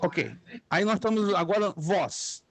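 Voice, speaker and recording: A man's voice: sits high, expressive, measured pace. A middle-aged man, a native speaker of Spanish and Hebrew, teaching a class and patiently repeating words for students to echo. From Brazil. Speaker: theology teacher. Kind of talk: online class lecture.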